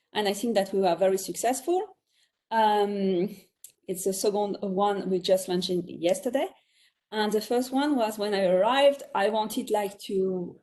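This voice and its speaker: A woman's voice: mid-range voice, darkish tone. Woman, French accent, panel guest, chatty, laughs often, video call. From France. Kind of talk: virtual panel discussion.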